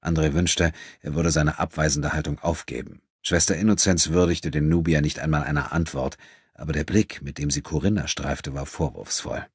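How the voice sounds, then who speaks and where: A man narrates a novel for an audiobook. His voice is deep and husky, with a very dry sound free of room echo. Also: fairly even intonation, normal speed. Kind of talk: scripted literary narration.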